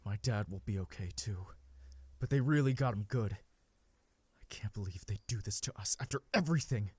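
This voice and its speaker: A man's voice: fairly low pitch, expressive, normal speed, darkish tone, slightly rough, no room sound. Man playing a hot-headed young ex-soldier. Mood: downcast. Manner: impassioned. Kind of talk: amateur visual novel voice acting.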